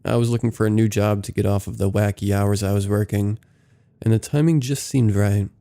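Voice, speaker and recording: A man narrating submitted true scary stories in a steady, low-key reading. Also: fairly quick, gravelly. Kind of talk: scripted horror story narration.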